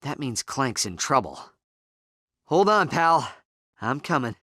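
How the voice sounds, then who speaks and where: A man's voice: medium pitch, big pitch swings, takes it slowly, bright, forceful tone, exaggerated voice. A young man voicing an earnest, loyal and sometimes wry alien hero in a video game. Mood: urgent, determined. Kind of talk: video game cutscene acting.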